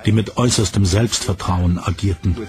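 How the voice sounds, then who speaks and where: A man's voice: low voice, fairly even intonation, moderate pace, loud music behind the voice. A man giving thoughtful, reflective answers as an interviewed expert in a documentary.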